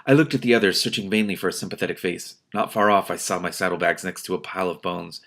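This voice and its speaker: Guy w kinda low voice reading a book out loud, reacting casually, exasperated asides. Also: fast talker, vocal fry. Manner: expressive, exasperated asides with swearing. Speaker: host reading a novel aloud with commentary.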